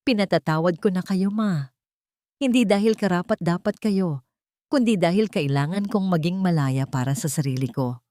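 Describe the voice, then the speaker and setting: Woman narrator as resolute young heroine, low pitch, firm, emotional, audio melodrama, dry sound. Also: normal speed, warm tone, no echo, close to an everyday voice. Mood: solemn forgiveness.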